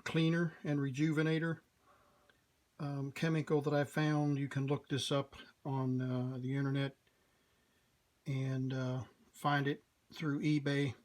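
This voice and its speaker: Slow, monotone man narrating a repair. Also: a little high, a little hiss or hum, low-quality recording. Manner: halting, thinking aloud. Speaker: repair video host. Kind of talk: casual hands-on repair narration.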